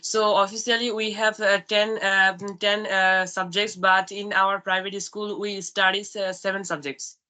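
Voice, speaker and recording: A young woman from Bangladesh, a Bangla speaker with a Bangladeshi accent, asking questions politely with many hesitant pauses. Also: medium pitch, level tone, pushed and bright. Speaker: student interviewer.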